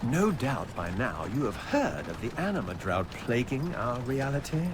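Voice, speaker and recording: A man's voice: medium pitch, very animated, normal speed, noisy background, natural voice. An actor playing a haughty, loyal vampire nobleman in a video game, speaking in a formal, imperious, grandiose manner. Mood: haughty, resolute. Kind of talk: video game cutscene acting. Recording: music behind the voice.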